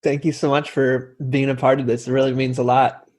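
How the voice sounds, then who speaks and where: A young man hosts a relaxed interview from home. He rambles, circles back and restarts his sentences, filling gaps with "you know" as he works his way to each question. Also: medium pitch, fairly quick. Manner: rambling, many fillers and restarts. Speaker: podcast host. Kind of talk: casual conversational interview.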